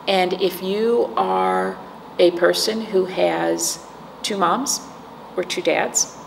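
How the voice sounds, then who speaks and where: An American woman in her forties with a creaky voice, a storytime host explaining things to young children in simple, teacherly words and questions. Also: fairly low pitch, fairly bright tone. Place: indoor room, seated. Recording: echoey room with background noise.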